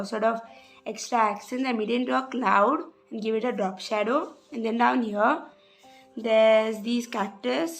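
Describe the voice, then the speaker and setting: Young female vlogger, higher pitch, a bit rough-voiced, hesitant talk over soft music. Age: young adult. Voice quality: hesitant, many "sort of" fillers. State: slightly rough voice. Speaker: journal vlogger. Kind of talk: casual craft walkthrough voice-over.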